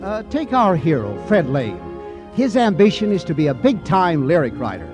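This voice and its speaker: A man's voice: fairly high pitch, sing-song, normal speed, over music. A man announcing and narrating in a formal, showmanlike 1940s radio style, reading from a script.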